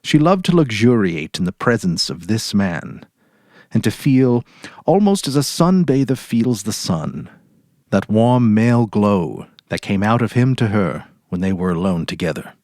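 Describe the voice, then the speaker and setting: A man narrating a literary short story dramatically, in a measured, deliberate way. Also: fairly low pitch, big pitch swings, a little mellow, no echo, clean studio sound.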